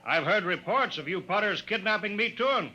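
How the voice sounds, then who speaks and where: An actor playing a brusque, bossy colonial bureau chief who blusters and gives orders, in 1950s radio drama style. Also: male voice, high-pitched, animated, normal speed, bright, forceful tone, highs cut off, exaggerated voice. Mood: official, stern. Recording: noisy vintage radio recording.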